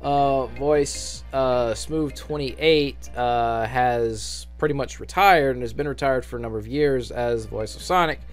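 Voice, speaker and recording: A man's voice: mid-range voice, measured pace, hardly any pauses, soft background music. A man giving an unscripted, casual audition announcement for a fan dub, speaking in a hesitant, rambling way with frequent restarts.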